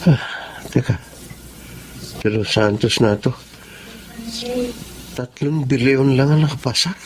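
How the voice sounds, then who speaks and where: An actor playing an earnest young aspiring teacher in a Filipino radio drama, polite and pleading. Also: male voice, medium pitch, slow pace, a little hiss or hum, natural voice. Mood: anxious. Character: earnest young aspiring teacher, dejected son, apologetic tutor.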